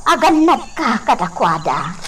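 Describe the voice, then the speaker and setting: A woman's voice: on the high side, big pitch swings, a little slow, a bit bright, breathy edge, full character voice. A woman playing a concerned, caring elderly grandmother in an Ilocano radio drama, recorded slightly off-mic. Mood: worried. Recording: faintly noisy, distant microphone.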